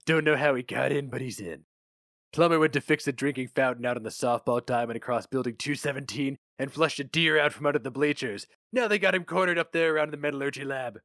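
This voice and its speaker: A man voicing a brash, cynical, fast-talking male publicity boss, barking and emphatic, in a story reading. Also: medium pitch, a bit bright, dry recording, studio quality, lightly acted. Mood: excited urgent.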